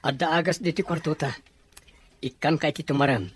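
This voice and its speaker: A woman's voice: low-pitched, a little fast, slight background noise, lightly acted. A woman voicing a caring friend who tends to a sick companion in an audio drama. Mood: concerned. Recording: faint background music.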